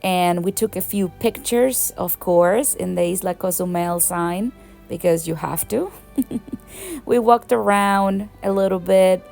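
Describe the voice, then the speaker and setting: Young woman travel vlogger from Venezuela, chatty and enthusiastic, slow talker with some vocal fry. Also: medium pitch, a little mellow, faint music behind. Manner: chatty, enthusiastic, laughs often. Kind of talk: casual travel vlog voice-over.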